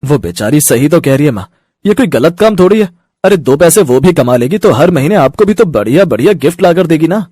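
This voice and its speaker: A man's voice: on the high side, sing-song, brisk, dark tone, breathy edge, dry recording, studio quality. A man voicing an easygoing, supportive young husband and son in a cartoon story. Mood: agreeable. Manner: chatty, agreeable. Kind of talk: Hindi cartoon moral story.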